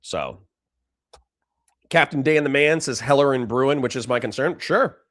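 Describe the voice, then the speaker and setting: A man's voice: medium pitch, fairly bright tone. A man with a dry voice giving blunt, profane political commentary to a livestream chat. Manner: blunt, profane, fast restarts.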